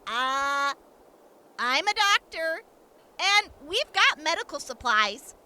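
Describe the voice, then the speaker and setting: Sardonic wasteland medic female actor: very high, piercing voice, blunt and deliberate. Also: very animated, bright, forceful tone, heavily acted. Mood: indignant. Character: sardonic, sharp-tongued wasteland doctor woman. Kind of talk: audio drama acting.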